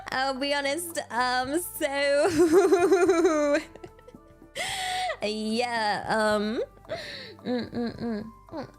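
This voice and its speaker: A young woman with a British accent talks through her thoughts on a livestream at an unhurried pace, full of hesitant fillers and restarts. Her tone is reflective and candid, with soft music playing faintly behind her. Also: high voice, big pitch swings. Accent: British English. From England. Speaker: streamer. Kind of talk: casual chat with live chat readers.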